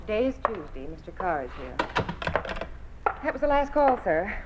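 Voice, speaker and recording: An American actress playing an eager, obedient young secretary and party loyalist in a 1950s radio drama, brisk and dutiful. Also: female voice, medium pitch, sing-song, lightly acted. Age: young adult. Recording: dull, noisy 1950s radio recording.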